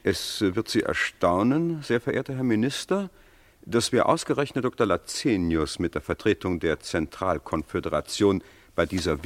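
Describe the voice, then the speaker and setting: Lively, low-voiced government minister. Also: male voice, dry recording. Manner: formal, authoritative, orderly. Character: dignified, courteous male government minister in command. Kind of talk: radio crime drama acting.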